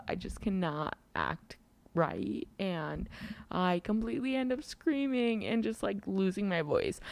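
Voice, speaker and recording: Hoarse low-voiced woman, casual podcast. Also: darkish tone, no echo. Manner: candid, rambling, with many fillers and restarts. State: hoarse from a lost voice. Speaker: podcast host. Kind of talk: casual solo podcast talk.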